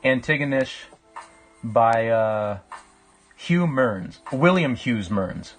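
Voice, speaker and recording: A man, chatting casually with livestream viewers in a reflective, halting way as he thinks aloud. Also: mid-range voice, animated. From Canada. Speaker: live-streaming painter. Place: small indoor room with a painting on the wall. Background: music.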